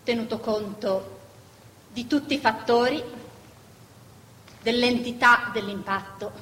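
A woman acting on stage as a diplomatic doctor, softening bad news with careful, formal euphemisms. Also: a little high, slow. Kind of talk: live theatre acting. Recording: echoey theatre hall, faint noise.

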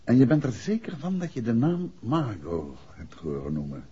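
An actor playing a calm, probing gentleman detective in a 1960s Dutch radio drama. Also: medium pitch, moderate pace, breathy edge, full character voice. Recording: dull 1960s radio recording.

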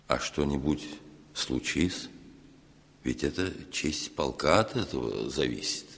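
An actor playing a grave, dutiful army officer in a Soviet radio drama, speaking with halting weight. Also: male voice, a bass voice, gravelly, full character voice. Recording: reverberant hall, 1971 radio recording.

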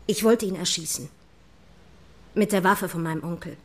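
A woman's voice: lowish voice, moderate pace. An actress playing a frightened teenage girl in a crime radio drama, confessing in a hesitant, anxious manner. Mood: confessing, subdued.

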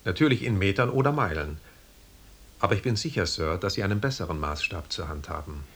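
A male expedition doctor in a radio drama, courteous and deferential. The voice is low and husky, with formal phrasing. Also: expressive, fairly quick, fairly bright tone, full character voice. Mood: politely deferential. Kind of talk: 1980s German radio drama acting.

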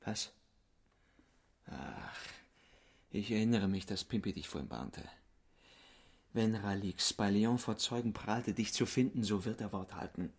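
A low husky con man, radio play, jealous and controlling, fires curt questions. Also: a little slow, pauses often. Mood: stern, suspicious. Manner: curt, interrogating. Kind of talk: intimate radio drama dialogue.

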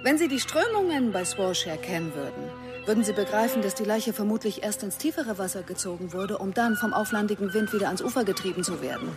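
A woman's voice: medium pitch, lively intonation, fast talker, music in the background, natural voice. An actress playing a composed, defensive woman under questioning in a radio drama, answering in a controlled, matter-of-fact way. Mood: confessing, composed.

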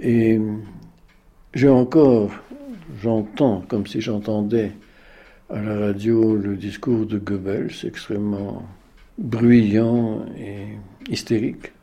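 Elderly man in his eighties, slow unhurried speech, very dark mellow tone, grave and deliberate. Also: lowish voice, steady tone, breathy edge, dull audio. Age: 80s. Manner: grave, deliberate, searching for words. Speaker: interviewee, witness. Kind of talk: slow, measured recollection.

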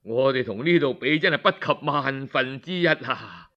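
A man's voice: mid-range voice, expressive, normal speed, fairly bright tone, muffled recording, pitched below the performer's own voice, close to an everyday voice. A man with a Cantonese accent voicing a dignified, humble visiting ruler in a historical story, formal and exclamatory. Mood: humble flattery.

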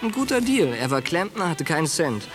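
A man's voice: fairly high pitch, very animated, fairly quick. Tough young soldier guy, blunt street talk, defensive, radio play w music under it. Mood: reminiscing. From United States, Philadelphia. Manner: blunt, coarse, slangy. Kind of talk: German radio drama acting and narration. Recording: studio drama with music bed.

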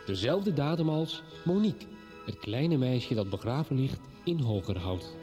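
A male announcer reading a scripted episode recap in a measured, even way. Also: medium pitch. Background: music.

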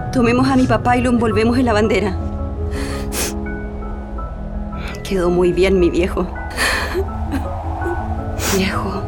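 Actress as a grieving Chilean daughter, music behind. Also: female voice, mid-range voice, close to an everyday voice. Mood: tender, mournful. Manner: emotional, intimate storytelling. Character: grieving daughter of a disappeared man, giving testimony. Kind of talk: radio drama monologue and dramatised scene.

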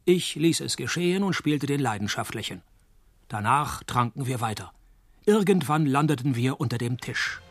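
An actor playing a hard-boiled, laconic private detective, delivering deadpan noir radio play narration and dry wit. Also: male voice, mid-range voice, moderate pace, no room sound. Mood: dry, wry. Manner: deadpan, wry.

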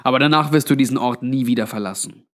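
A man's voice: medium pitch, fast, natural voice. A man voicing a weary, blunt old undead man with a grave, resigned manner in a narrated story.

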